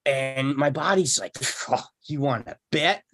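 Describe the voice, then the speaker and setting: A man playing an awkward, bitter divorcee on a date in a sitcom table read, speaking haltingly. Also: mid-range voice, fairly bright tone, no echo, studio quality. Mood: embarrassed.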